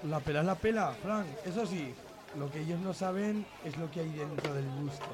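Man acting a gushing high-voiced sculptor. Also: normal speed, close to an everyday voice. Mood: smug. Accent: Spanish from Spain. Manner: gushing, sweary, colloquial. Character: enthusiastic, cheeky male street sculptor. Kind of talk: comic radio serial acting. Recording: crowd noise behind.

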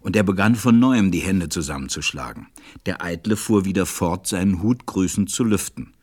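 A man with a low voice narrates a storybook as part of a radio play. He tells it in a measured way, recorded close and dry. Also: a little mellow, breathy edge, dry recording.

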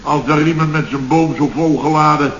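An actor playing a boastful, blustering contractor boss in a radio comedy serial, telling stories in colloquial, indignant style. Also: male voice, fairly high pitch, fairly even intonation, fairly quick, natural voice. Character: blustering, self-satisfied male building contractor boss. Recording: dull, noisy old radio recording.

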